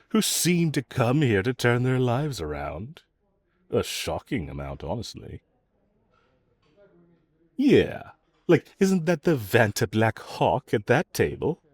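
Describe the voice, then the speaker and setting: Man acting a low-voiced, cocky flirtatious villain. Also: breathy edge, no room sound, heavily acted. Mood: smug, amused. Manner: teasing, sarcastic drawl with chuckles. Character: cocky, flirtatious, mocking male supervillain, unrepentant. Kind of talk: audio roleplay acting, addressed to listener.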